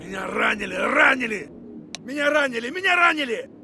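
A man voicing a gruff, commanding special forces soldier giving terse, urgent radio commands in a video game. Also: extremely high pitch, very animated, a little fast, noisy background, exaggerated voice. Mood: wounded, alarmed. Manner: terse, urgent, barked military callouts.

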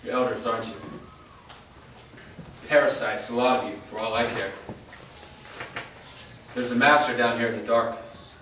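A man's voice: mid-range voice, fairly even intonation, normal speed, pauses often, bright, forceful tone, lightly acted. Guy reading a blunt confident monster hunter in a play, really echoey, faint noise. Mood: challenging. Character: confident, blunt half-vampire male hunter. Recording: echoey room, far mic, faint noise.

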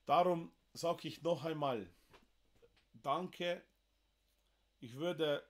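Emotional Slovenian-accented man, halting. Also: a little high. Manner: emotional, halting, many fillers. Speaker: livestream host. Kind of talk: livestream appeal to viewers. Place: wood-panelled room indoors.

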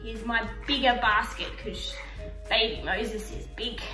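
A woman's voice: a little high, a little slow. A woman in her thirties presenting a simple, hands-on story lesson for young children. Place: indoor room with painted river backdrop. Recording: roomy echo, faint background music.

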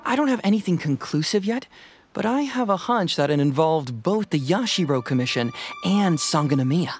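A wry young detective. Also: male voice, a little high, normal speed. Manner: relaxed and wry. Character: clever young male detective, easygoing. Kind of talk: video game voice acting. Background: game music.

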